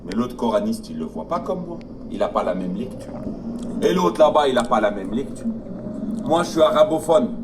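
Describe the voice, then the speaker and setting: A man's voice: mid-range voice, lively intonation, moderate pace. A man explaining his view in a casual, hesitant way with many fillers. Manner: conversational, hesitant, lots of fillers. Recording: distant mic with ambient noise.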